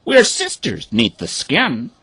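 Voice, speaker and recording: Brief: friendly storybook ducks, bright and dry. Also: male voice, huge pitch swings, takes it slowly, husky, no room sound, exaggerated voice. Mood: kindly. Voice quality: high falsetto. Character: welcoming flock of kindly ducks.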